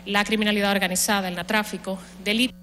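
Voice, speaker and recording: A woman in her thirties with a Dominican accent, a prosecutor and judicial candidate giving a formal statement at a public hearing, measured and often pausing on "eh". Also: medium pitch, little pitch movement, bright, forceful tone. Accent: Dominican Spanish. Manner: formal and measured, with frequent hesitant "eh" fillers. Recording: echoey hall with faint hum.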